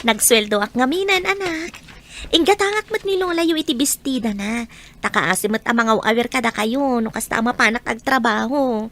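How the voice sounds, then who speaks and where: An actress plays a generous, hardworking mother in an Ilocano drama. Her voice sits high and rings bright, with an Ilocano accent. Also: expressive, normal speed. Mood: generous, cheerful. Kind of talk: Ilocano audio drama acting.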